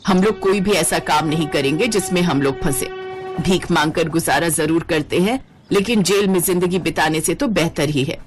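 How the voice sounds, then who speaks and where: A woman's voice: on the low side, normal speed, soft background music, voice pushed down, natural voice. A woman voicing a stern, cautious beggar mother in a cartoon story.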